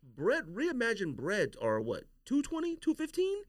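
A man's voice: very high voice, wildly swooping pitch, a little slow, no room sound, clean studio sound. A man hosting a casual podcast chat, speaking in a slangy, opinionated way. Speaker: podcast host.

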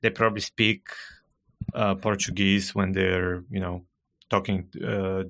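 Podcast guest, Bulgarian-accented man, gravelly low register, careful and hesitant delivery. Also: level tone, fairly bright tone, slight background noise. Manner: measured, hesitant with frequent uh fillers. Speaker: guest, marketing director. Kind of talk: casual podcast conversation.

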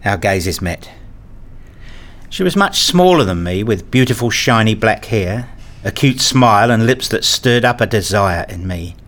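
A man reading a scripted first-person story as narrator, in a measured, introspective manner. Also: fairly low pitch, expressive, fairly bright tone.

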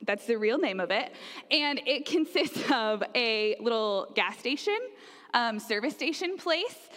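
A woman's voice: on the high side, lively intonation, unhurried, fairly bright tone. A young woman from Oklahoma, giving a friendly, conversational sermon with frequent fillers. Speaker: preacher. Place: church sanctuary. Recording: long church reverb.

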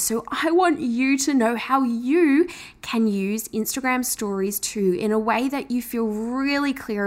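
A woman hosting a podcast, talking in an enthusiastic, chatty way as she promotes her offer and welcomes a guest. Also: fairly high pitch. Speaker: podcast host. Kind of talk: upbeat podcast intro and interview.

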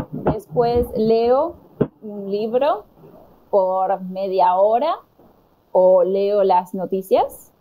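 An Argentine woman answering conversation questions slowly and deliberately, pausing with fillers. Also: medium pitch, very noisy. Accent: Argentine Spanish. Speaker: interviewee. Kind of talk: slow, careful conversation answers.

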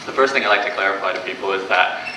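A man's voice: deep voice, very fast. A young man with a Canadian accent, giving a casual, conversational talk to a live audience at a business event, with many fillers and restarts. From Australia. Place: event room before an audience. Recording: distant, echoey live room recording with background noise.

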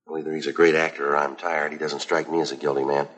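An actor playing a terse, businesslike police detective who narrates his case in a clipped, deadpan 1950s procedural style. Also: extremely low pitch, quick pace. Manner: deadpan, matter-of-fact. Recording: 1950s TV soundtrack with music.

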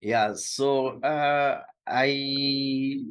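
A man's voice: medium pitch, fairly even intonation, very slow, fairly bright tone, clear, resonant tone. A middle-aged man from Congo, not a native English speaker, answering interview questions with many hesitations and restarts. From Democratic Republic of the Congo. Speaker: food shelf supervisor.

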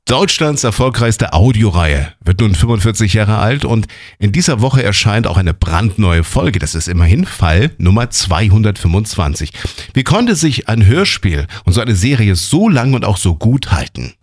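A man's voice: on the low side, very animated, normal speed. A male radio host. He presents and puts questions to a guest in a conversational broadcast style.

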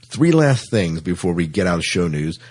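Animated, low-voiced man hosting a podcast. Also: normal speed, breathy edge. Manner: animated, playful. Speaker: podcast host. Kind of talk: casual, chatty science fiction podcast hosting.

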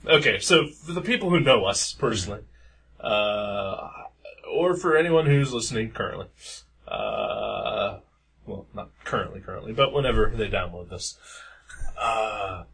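A man's voice: fairly low pitch, lively intonation, unhurried, creaky, fairly bright tone, breathy edge. A young man co-hosting a podcast in casual chat, rambling with lots of fillers. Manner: rambling, hesitant, many fillers. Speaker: podcast co-host.

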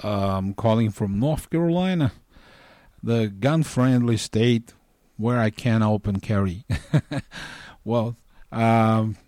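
Middle aged brazilian guy calling in, portuguese native, really slow careful delivery, dry close sound. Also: fairly low pitch, darkish tone, no echo. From northeastern Brazil. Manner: deliberate, measured. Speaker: listener calling in. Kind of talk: prepared spoken commentary.

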